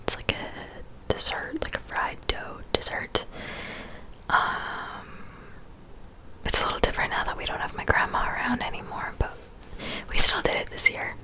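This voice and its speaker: A woman in her twenties speaking in a soft, breathy whisper, chatting casually and unhurriedly as an ASMR creator answering viewers. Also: unhurried. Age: 20s.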